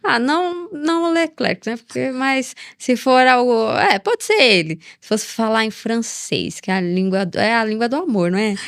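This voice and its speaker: A female podcast host with a Brazilian accent and a somewhat high voice. She asks questions in a casual, playful, curious manner. Her voice is recorded dry, without room sound. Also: normal speed, hardly any pauses, dry recording. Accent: Brazilian Portuguese. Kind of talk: casual chat.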